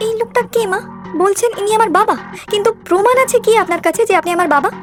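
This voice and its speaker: A woman's voice: extremely high pitch, quick pace, music in the background. A woman voicing a distrustful grown daughter in a cartoon ghost story, with an insistent, questioning delivery. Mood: suspicious, accusing. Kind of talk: Bengali animated ghost story voice acting.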